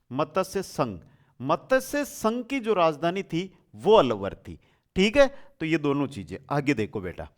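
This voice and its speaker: Guy teaching exam prep online, kinda high voice, asks questions and repeats the answers to his students. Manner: addresses students directly and familiarly, asking and repeating answers. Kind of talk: exam revision lesson, reading out and explaining quiz questions.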